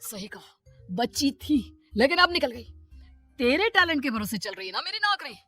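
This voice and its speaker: A woman's voice: high-pitched, very animated, normal speed, bright, forceful tone, soft background music, heavily acted. A woman voicing a male office boss, formal and stern, in a cartoon story. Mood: stern, sarcastic. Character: male office boss, polite visitor, stern when insulted.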